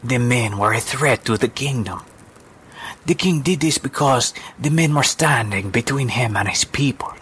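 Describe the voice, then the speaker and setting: A man voicing a soft-spoken, resolute servant woman in a slow, breathy whisper, with quiet menace. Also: animated. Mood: gentle, hushed. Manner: slow, gentle, low-toned.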